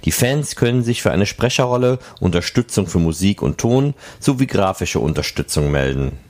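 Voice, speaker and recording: A man's voice: low-pitched, animated, normal speed, a little mellow. A man hosting a podcast, reading scripted narration. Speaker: podcast host.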